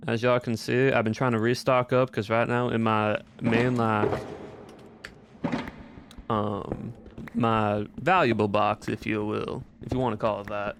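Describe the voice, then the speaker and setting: An easygoing Southern cowboy played by a man chats in a mid-pitched, folksy drawl that blends several Southern US accents. He is courteous and casual, like a game streamer in character, with clear background noise and clicks. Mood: laid-back, musing. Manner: folksy, courteous, uses y'all and ain't. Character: folksy male Southern cowboy gunpowder-factory owner, easygoing. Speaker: livestreamer playing a game. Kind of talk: casual in-character livestream chat.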